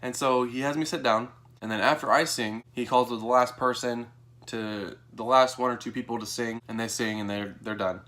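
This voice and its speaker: A young American man telling a personal story to camera in a casual, animated way, acting out what people said. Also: moderate pace. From Texas, United States. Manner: animated, acts out quoted dialogue in a higher voice. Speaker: vlogger.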